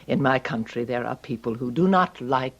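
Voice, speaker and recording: An actress playing a fearful émigré ballet dancer in an old radio drama, with a foreign European accent and a hesitant, theatrical delivery. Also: female voice, very deep voice, animated, normal speed, exaggerated voice. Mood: fearful, earnest. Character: frightened, dramatic émigré female ballet dancer seeking asylum. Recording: dull lo-fi radio drama recording.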